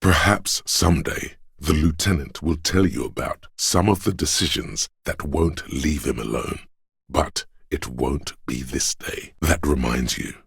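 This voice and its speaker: A man playing a solemn, brotherly inner voice of police instinct in a video game, narrating in a measured, brooding, literary way with a gravelly, husky tone. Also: rumbling low, dry recording, clean studio sound, full character voice. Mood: solemn, concerned. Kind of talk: scripted second-person game narration.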